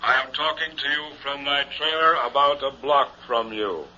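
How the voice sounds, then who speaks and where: An actor playing a calm, menacing mad doctor posing as a professor in a 1960s radio horror drama, deliberate and coldly soothing. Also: male voice, mid-range voice. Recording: muffled, noisy vintage radio recording.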